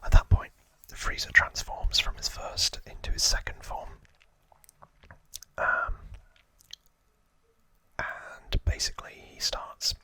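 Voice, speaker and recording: A man hosts ASMR in a constant breathy whisper with a bright, crisp hissing edge. He tells trivia informally, feeling his way through it from memory. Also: slow, pauses often, no room sound. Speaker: ASMR host.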